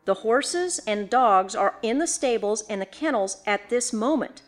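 A woman plays a young gentlewoman in a storybook ghost tale. She is shaken but determined, with a fairly high voice and precise, formal, earnest phrasing. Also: lively intonation, measured pace, soft background music. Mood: insistent. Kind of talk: dramatised audiobook ghost story.

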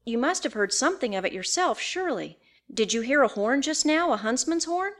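A woman plays a young gentlewoman in a storybook ghost tale. She is shaken but determined, with a fairly high voice and precise, formal, earnest phrasing. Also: animated, brisk. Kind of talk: dramatised audiobook ghost story.

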